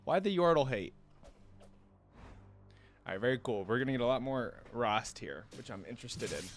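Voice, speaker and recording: A man's voice: medium pitch, big pitch swings, moderate pace. A man giving casual, chatty reaction commentary as an enthusiastic fan, in laid-back slangy wording. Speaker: host of a reaction video. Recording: music playing behind the voice. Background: game voice clips and music.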